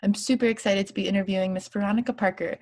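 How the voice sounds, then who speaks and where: A woman's voice: mid-range voice, steady tone, very fast, darkish tone. A woman hosting an interview podcast, introducing her guest and asking friendly questions. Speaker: podcast host.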